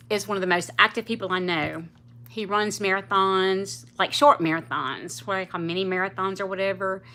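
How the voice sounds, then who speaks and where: Woman, late fifties, livestream host; bright, chatty, rambling delivery. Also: mid-range voice. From South Louisiana, US. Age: 50s. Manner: chatty, rambling, laughs easily. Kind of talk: casual livestream chat with viewers.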